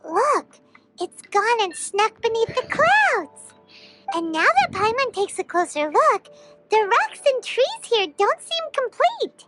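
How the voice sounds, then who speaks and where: Tiny game fairy girl, extremely high squeaky voice, eager, curious, exclamatory, music behind. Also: heavily acted. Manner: speaks of self in third person, exclamatory. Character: tiny floating fairy companion, female, childlike, eager and curious. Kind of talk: video game voice acting. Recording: game audio with loud music.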